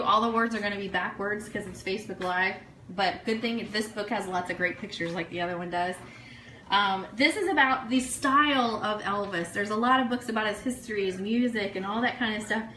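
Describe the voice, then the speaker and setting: A woman hosting a casual live stream show, chatting in a gushing, excitable way to her audience. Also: a little high. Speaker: host of an internet radio show. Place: indoor room, live video stream. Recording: echoey room, distant mic, noisy background.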